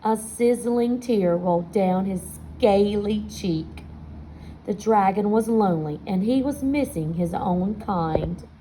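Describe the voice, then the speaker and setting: Woman, mellow and slow-paced, for an expressive children's read-aloud with asides to the listeners; faint noise behind. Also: mid-range voice, poor audio. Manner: expressive storytelling, pausing to ask listeners questions. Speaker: storybook reader.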